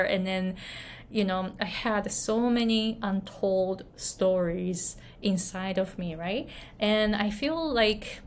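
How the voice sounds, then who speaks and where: A woman from Japan coaching viewers on their voices, speaking slowly in a mid-range pitch. She talks gently, pausing and restarting her sentences often. A faint hiss sits under the recording. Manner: gentle, halting with many restarts and fillers. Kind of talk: video lesson talking to camera.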